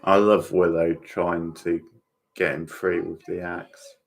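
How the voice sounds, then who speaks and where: Male livestream host, very deep gravelly voice, relaxed watchalong chat addressed to viewers. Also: takes it slowly. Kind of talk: casual watchalong commentary, chatting with viewers.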